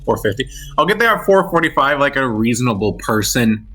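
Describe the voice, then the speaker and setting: A man's voice: mid-range voice, animated, fairly quick, pushed and bright. A man playing a tabletop game, bantering casually with sarcasm and swearing.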